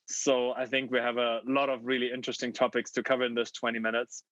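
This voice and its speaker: A man's voice: medium pitch, level tone, fairly bright tone, no room sound. Man moderating over a dull, dry call. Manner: friendly, halting, with many fillers and restarts. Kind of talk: hosting a fireside chat. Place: home room. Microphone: video call.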